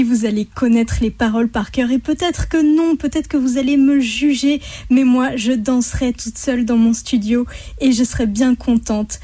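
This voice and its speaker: A woman's voice: fairly high pitch, dark tone. A woman leaving a casual, playful and effusive spoken message to introduce a song. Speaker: listener or contributor leaving a message.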